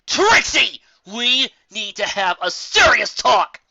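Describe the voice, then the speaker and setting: A hot-tempered storybook pegasus mare, as a narrator puts her on. She sounds righteous, exasperated and forceful, with a bright, tense edge. The recording is dry and lo-fi. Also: medium pitch, very animated, takes it slowly, vocal fry, no echo, pitched above the performer's own voice, heavily acted. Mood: angry. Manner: forceful, exasperated, growling threats.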